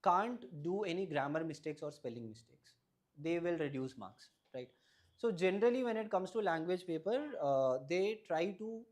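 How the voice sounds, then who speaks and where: A man's voice: a little high, a little mellow. A young man whose first language is Malayalam, an exam topper explaining his study strategy in an interview and often checking in with "right?". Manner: advisory, often ends points with a questioning "right?". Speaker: exam topper giving advice.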